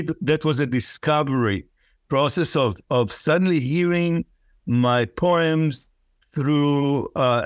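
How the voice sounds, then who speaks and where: A man from Israel, whose first language is Hebrew, speaking thoughtfully as a poet guest in an interview, pausing and laughing softly. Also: mid-range voice, dry recording, studio quality. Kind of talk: reflective interview talk.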